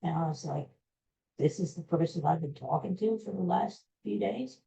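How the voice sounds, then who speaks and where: Older American man, very mellow, muffled recording. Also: on the high side, creaky. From Pennsylvania, USA. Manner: rambling, halting, self-deprecating. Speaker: podcast host. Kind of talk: casual personal storytelling. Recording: dull, muffled lo-fi audio.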